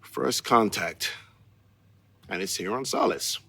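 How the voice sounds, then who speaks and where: An actor playing a weary, grim crew officer recording a log in a video game, in a halting, husky voice. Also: male voice, on the low side, bright, forceful tone, a little hiss or hum, exaggerated voice. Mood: wry, uneasy.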